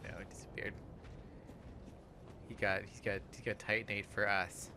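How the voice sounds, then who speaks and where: A man's voice: fairly low pitch, little pitch movement, moderate pace, sharp tone, a little hiss or hum. A man hosting a let's play, giving casual gaming commentary.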